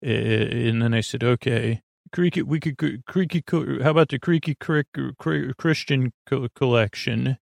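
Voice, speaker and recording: A man hosting a bedtime podcast, talking in a soft, creaky, meandering way with many fillers and restarts. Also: mid-range voice, normal speed, dry recording, clean studio sound. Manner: soft, meandering, self-interrupting. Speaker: podcast host. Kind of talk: rambling bedtime podcast talk.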